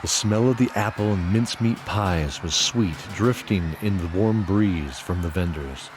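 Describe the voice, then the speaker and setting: A man narrating a scripted fantasy audio drama in a measured, descriptive storytelling manner. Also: low-pitched, background noise. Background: music.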